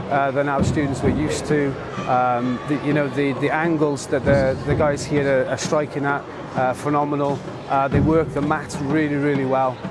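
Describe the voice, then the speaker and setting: A man from Wales, a martial arts club representative, speaking in an event interview with many hesitations. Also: on the high side. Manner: hesitant, many ums and uhs. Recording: loud background noise.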